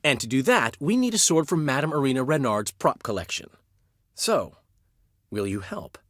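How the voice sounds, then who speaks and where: A man's voice: medium pitch, fairly bright tone, no room sound, studio quality. An actor playing a flamboyant, vain and charming male bard in game dialogue, theatrical, wry and boastful. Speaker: game character.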